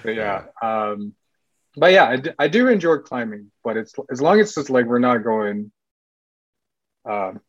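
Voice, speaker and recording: A man in his late thirties, raised in Sweden and California, chatting as a podcast guest in a loose, rambling way full of "like" fillers and quick laughs. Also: medium pitch, animated, dry recording, lo-fi sound. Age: late 30s. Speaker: podcast guest, cycling team runner. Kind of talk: casual interview chat.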